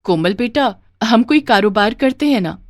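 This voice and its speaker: A woman voicing a poor widowed mother who sells street food, earnest and plaintive, in an animated moral story. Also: a little high, normal speed, no room sound, studio quality, lightly acted.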